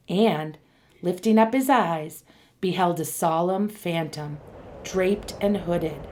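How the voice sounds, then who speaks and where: A woman narrating a radio play in a slow, measured storytelling manner. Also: on the low side, a little mellow.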